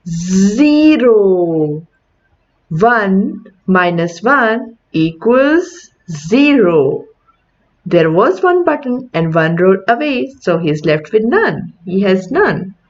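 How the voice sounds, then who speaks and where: Woman reading to kids, lively pitch swings. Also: muffled recording. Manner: deliberate teacherly delivery with questions and counting. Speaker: children's read-aloud host. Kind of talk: picture book read aloud to young children.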